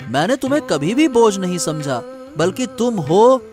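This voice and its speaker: पुरुष कलाकार ऊँची आवाज़ वाला समर्पित, कोमल बेटा. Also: male voice, sits high, big pitch swings, moderate pace, faint music behind. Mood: tender reassurance. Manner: gentle, reassuring. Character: devoted, tender grown son of a poor villager.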